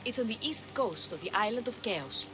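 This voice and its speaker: An actress playing a sly, flippant pirate schemer in a video game, with a teasing, casual way of talking. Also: female voice, fairly high pitch, expressive, moderate pace, over music, close to an everyday voice, rough recording. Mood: eager, scheming. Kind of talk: video game cutscene dialogue acting. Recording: clear background ambience noise.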